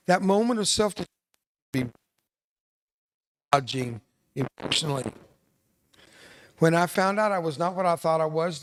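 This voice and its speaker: An older man from South Texas whose first language was Spanish, giving an emphatic motivational speech to an audience and repeating his key lines. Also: fairly high pitch. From South Texas, USA. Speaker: veteran speaker, resiliency trainer.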